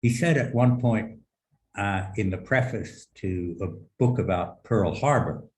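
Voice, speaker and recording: A man giving formal policy remarks as a panel speaker, measured and deliberate with long halting pauses and erudite phrasing. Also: on the low side. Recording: roomy echo with some background noise.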